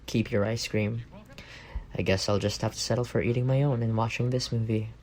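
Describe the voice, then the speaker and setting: A man's voice: mid-range voice, steady tone, fairly quick, a little mellow, natural voice. A man playing an affectionate, teasing young roommate in an intimate ASMR roleplay, speaking in a playful, tender way.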